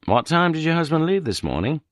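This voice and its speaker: A man playing a patient police sergeant who speaks quietly, gently and hesitantly. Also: a little high, big pitch swings, fairly quick, no echo, studio quality, voice pushed up. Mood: gentle.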